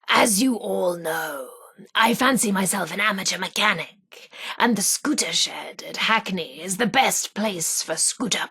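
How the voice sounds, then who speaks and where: Casting: chirpy, coy British female radio co-host, vintage patter, breathy and slightly creaky, unhurried game role. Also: medium pitch, fairly bright tone, exaggerated voice.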